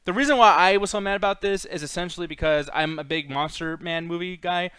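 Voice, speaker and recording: A man's voice: sits high, quick pace, pushed and bright, clean tone, no echo, clean studio sound. A man hosting a casual opinionated chat, talking in a foul-mouthed, ranting way. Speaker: host of a movie discussion show.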